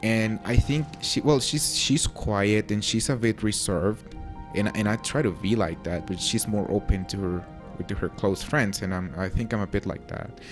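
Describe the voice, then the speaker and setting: A young Mexican man with a Spanish-tinged accent that carries a few British vowels, his voice sitting low. He talks in an easygoing, rambling way, full of fillers and self-mocking asides. Faint music plays quietly under him. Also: normal speed. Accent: Mexican with British touches. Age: young adult. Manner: relaxed, chatty, self-deprecating with frequent fillers. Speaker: YouTuber. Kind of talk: casual Q&A to camera.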